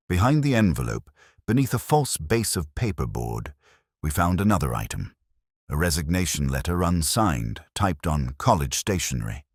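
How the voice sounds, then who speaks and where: A man playing a world-weary, reflective police inspector, narrating his case in a measured, solemn audio drama style. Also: extremely low pitch, slightly rough, no echo, studio quality, full character voice. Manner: measured, solemn, literary phrasing. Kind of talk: scripted first-person detective audio drama narration.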